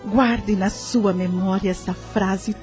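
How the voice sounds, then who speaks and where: A Brazilian actress playing a gentle, wise spiritual guide in a radio soap, with a measured, soothing and solemn manner. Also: female voice, medium pitch, fairly even intonation, faint music behind, natural voice. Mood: solemn, serene.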